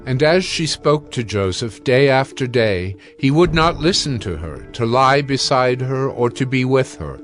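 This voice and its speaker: A man narrating scripture from a script in a solemn, measured manner. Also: mid-range voice, animated, faint music behind.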